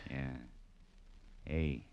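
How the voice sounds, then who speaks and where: A man's voice: a bass voice, extremely slow, creaky, clear, resonant tone, highs cut off, full character voice. A man playing a wry, world-weary American private detective in 1940s radio noir style, narrating in tough slang. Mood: breezy banter. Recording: vintage radio recording with music.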